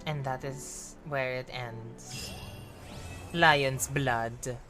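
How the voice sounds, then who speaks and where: A woman's voice: extremely low pitch, fairly even intonation, very slow, fairly bright tone. A woman streaming a game live, chatting playfully to herself and her viewers. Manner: chatty, playful, code-switching, self-talking. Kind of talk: casual live gaming chatter. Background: game music.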